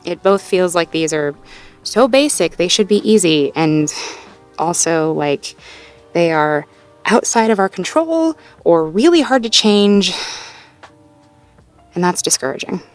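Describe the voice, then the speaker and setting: A woman playing a supportive, playful girlfriend in an intimate one-sided roleplay audio, talking gently and encouragingly with light teasing and natural hesitations. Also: on the low side, expressive, a little slow, pauses often, faint music behind. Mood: discouraged, thoughtful. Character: supportive, playful girlfriend helping partner problem-solve.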